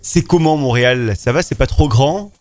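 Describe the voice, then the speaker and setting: A man's voice: mid-range voice, normal speed, soft background music. A man hosting an interview in a friendly, radio-style way, putting questions to a guest. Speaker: interview host.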